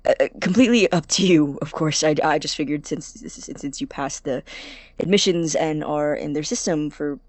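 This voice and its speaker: An actor playing an awkward, anxious young male college student in an audio drama, halting and rambling, with a creaky voice. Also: mid-range voice, no echo, natural voice. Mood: nervous, apologetic. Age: young adult. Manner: halting, stammering, rambling, nervous laughs. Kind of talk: audio drama acting as a personal voice recording.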